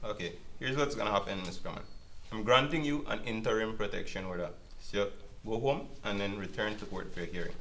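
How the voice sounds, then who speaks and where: A man's voice: on the low side, fairly quick, a bit bright, slight background noise. A man playing a stern, formal family court judge in a dramatized hearing. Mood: calm, official. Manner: formal, authoritative.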